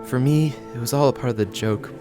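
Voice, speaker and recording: An actor playing a remorseful teenage high school boy in an audio drama, earnest and halting in his delivery. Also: music in the background, natural voice. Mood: regretful. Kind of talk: scripted audio drama acting.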